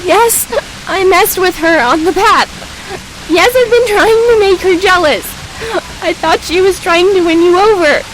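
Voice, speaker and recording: A woman's voice: very high-pitched, measured pace, rough recording. A woman acting a frightened, pleading teenage girl in an audio drama. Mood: desperate, tearful. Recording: clear hiss behind the voice.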